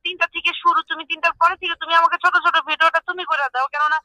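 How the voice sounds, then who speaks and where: A woman's voice: extremely high pitch, fairly even intonation, very fast, bright, forceful tone, no room sound. A middle-aged woman with a Bangladeshi accent, talking insistently and repetitively in an agitated conversation over a phone speaker. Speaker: prank call recipient. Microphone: phone call.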